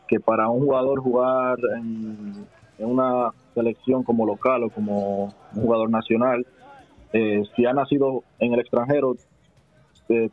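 A young man with a Dominican Spanish accent, answering questions at a studio desk in a halting, restart-filled way. His voice sits mid-pitch and sounds narrow and boxy, as if on a phone line, with a dry, close room. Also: no room sound. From Dominican Republic. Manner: hesitant, many restarts and fillers. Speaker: basketball player guest. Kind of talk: casual interview answers. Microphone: headset and desk mic.

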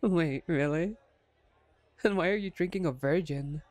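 Woman voice actor as female vampire captor, playful, wry, flirtatious, slow-paced, ASMR roleplay, dry recording. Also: sits low, a little mellow, no room sound. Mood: wry, chatty. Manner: teasing, wry, unhurried.

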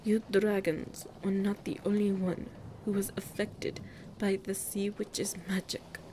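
A woman's voice: medium pitch, flat delivery, unhurried, warm tone, slight background noise, close to an everyday voice, poor audio. A woman playing a regal, commanding empress of monsters in a fantasy audio roleplay, speaking in a stately, formal manner. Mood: stately, grave.

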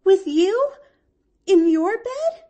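A woman's voice: extremely high pitch, very animated, takes it slowly, lo-fi sound, pitched above the performer's own voice, full character voice. A woman reading aloud, voicing an eager, chattering little girl who gushes breathlessly. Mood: rapturous. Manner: breathless, gushing, rapturous.

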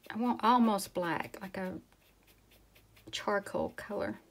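Gravelly woman teaching a painting. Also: medium pitch, takes it slowly. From Tennessee. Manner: relaxed, talks while painting, drops to a whisper at times. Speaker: painting instructor. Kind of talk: casual step-by-step tutorial. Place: indoors at a work table.

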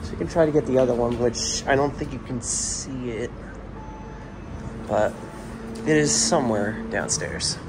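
A man's voice: mid-range voice, unhurried, pauses often, noisy background. Man narrating elevator rides on phone. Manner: matter-of-fact, plain running commentary. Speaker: elevator video host. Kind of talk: casual narration while filming. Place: parking garage elevators, indoors. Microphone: handheld phone camera.

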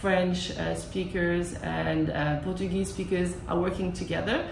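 A woman in her thirties, a school language program coordinator, answering interview questions in a measured way with many fillers, seated in a classroom. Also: on the low side, little pitch movement, gravelly, slight background noise. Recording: echoey classroom with music behind.

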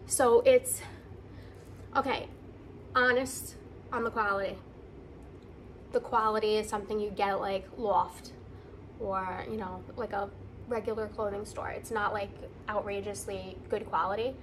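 A woman vlogger chatting casually to camera in an excited, gushing, conversational way, as in an unboxing video. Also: medium pitch, measured pace, pauses often, slight background noise.

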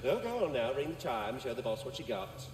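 An actor voicing a bitter, mocking, foul-mouthed squirrel spirit in a video game. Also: male voice, medium pitch, sing-song, a little fast, reverberant, big-room sound, distant mic, a little hiss or hum, natural voice. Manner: sneering, foul-mouthed, taunting.

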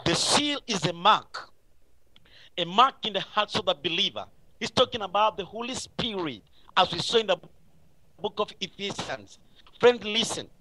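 High-pitched, bright-voiced man preaching. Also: no echo, poor audio. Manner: emphatic, repetitive exhortation. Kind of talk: live radio sermon.

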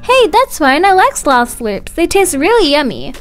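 A woman's voice: very high-pitched, sing-song, moderate pace. A woman voicing an easygoing, cheerful father in a cartoon family story. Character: easygoing cartoon dad who loves energy drinks. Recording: music playing underneath.

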